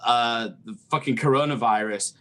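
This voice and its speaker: A man's voice: medium pitch, moderate pace, fairly bright tone. Rambling man. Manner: rambling, restarts often, swears casually. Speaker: podcast co-host. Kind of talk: casual chat.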